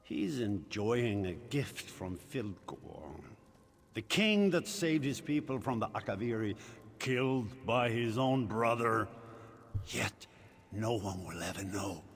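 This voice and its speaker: A man's voice: fairly low pitch, lively intonation. An actor playing a smug, gloating usurper villain in a fantasy game, mocking and theatrical, drawing out his words. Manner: mocking, drawn-out, gloating delivery.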